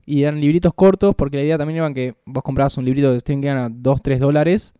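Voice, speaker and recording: A man with an Argentine Spanish accent, co-hosting a casual podcast and telling anecdotes in a lively, joking way. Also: mid-range voice, fast talker, clear, resonant tone, no echo, clean studio sound. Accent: Rioplatense Spanish. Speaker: podcast co-host.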